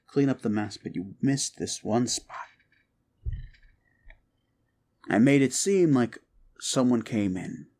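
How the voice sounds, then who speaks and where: A man's voice: medium pitch, darkish tone. A man plays a rumpled police detective in an interrogation roleplay. His mid-range voice rises and falls freely as he rambles and muses. He is polite and folksy on the surface, shrewdly needling underneath with leading questions. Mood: knowing, accusing. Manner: rambling, musing, halting, feigned casualness with leading questions. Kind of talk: roleplay audio drama, interrogation monologue addressed to listener.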